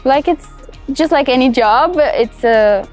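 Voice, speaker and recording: A young woman from Brazil with a Brazilian Portuguese accent, a culinary student answering interview questions outdoors in a cheerful, laughing way with halting restarts. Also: sits high, a little mellow, soft background music. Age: young adult. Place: outdoors on a city terrace.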